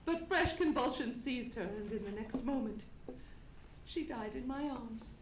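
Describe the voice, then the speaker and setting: Woman playing a frightened high-voiced young gentlewoman. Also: expressive, unhurried. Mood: horror-stricken. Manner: formal, dramatic storytelling. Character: frightened, grieving young gentlewoman recounting her terror. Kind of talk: live old-time radio drama acting. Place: theatre stage. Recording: echoey theatre stage, noisy live recording.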